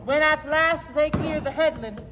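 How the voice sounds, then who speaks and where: Woman as high, slow mythic storyteller in echoing hall. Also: noisy background. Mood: stately narrating. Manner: declamatory, deliberate. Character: stately mythic storyteller commanding winds and heroes. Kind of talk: theatrical stage narration. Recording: echoing auditorium, music and crowd behind.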